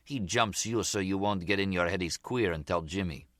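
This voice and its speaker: A man's voice: sits low, normal speed, fairly bright tone, no echo, studio quality. A man voicing a menacing, boastful immigrant mob hitman, blunt and cocky in broken English, in an audiobook crime story. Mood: scornful.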